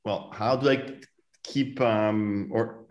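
Measured Swiss man in his forties. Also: on the low side, fairly even intonation, lo-fi sound. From Switzerland. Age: 40s. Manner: measured, searching for words. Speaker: panel guest, author. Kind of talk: video call panel talk. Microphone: video call.

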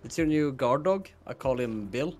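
A man's voice: medium pitch, animated, moderate pace, darkish tone. A man chatting and joking casually while playing a game with friends. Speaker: gamer playing along. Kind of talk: casual gaming banter.

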